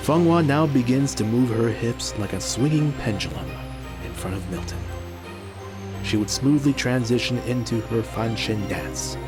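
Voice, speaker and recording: A man's voice: mid-range voice, expressive, moderate pace, music in the background, natural voice. A man playing a confident fighter with a florid way of talking in an audio drama. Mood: awed. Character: confident fighter ally, reverent commentator.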